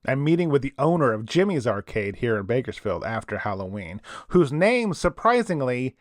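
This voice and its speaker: A man's voice: medium pitch, sing-song, normal speed, no room sound, clean studio sound, lightly acted. A man playing an earnest radio reporter, narrating a scripted investigative story for a radio drama. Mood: somber, resolute. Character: earnest male radio reporter probing an eerie death, late 1980s. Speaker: reporter and radio show host.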